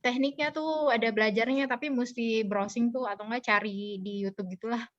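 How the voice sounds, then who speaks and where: A woman's voice: a little high, steady tone, a little fast, fairly bright tone. A woman giving an informal, playful lesson as a public speaking instructor over a video call. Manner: playful, joking, colloquial. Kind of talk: informal interactive online lesson. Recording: dull, band-limited video call audio.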